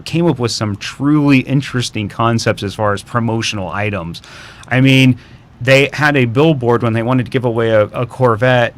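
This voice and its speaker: A man's voice: medium pitch, fairly bright tone. Man recounting stories in an interview. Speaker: interview guest, radio history expert and documentary maker. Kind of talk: casual, detailed storytelling in an interview.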